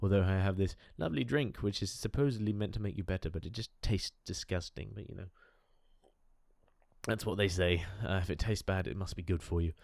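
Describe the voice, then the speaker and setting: A man's voice: deep voice, brisk, gravelly, darkish tone, no room sound, studio quality. A man with a cold, nasal and chatty, talking over gameplay with lots of fillers. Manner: chatty, enthusiastic, rambling with fillers. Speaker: gaming YouTuber. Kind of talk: casual game commentary.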